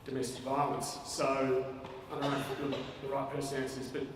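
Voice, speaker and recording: A man's voice: mid-range voice, monotone, a little fast. A man in the audience asking a hesitant, thought-out question at a public talk. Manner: hesitant, thinking aloud. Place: large lecture hall. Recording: distant echoey hall with noise.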